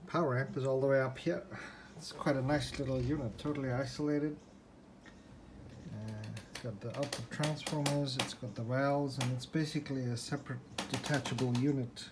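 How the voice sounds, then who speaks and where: Guy doing a casual repair walkthrough on his phone, mid pitch, kinda hesitant thinking out loud, bit of background noise. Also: steady tone, normal speed. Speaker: hobbyist restorer presenting a repair. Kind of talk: informal unscripted workbench walkthrough. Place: indoors at a desk in a room. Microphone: handheld phone or camera, behind the lens.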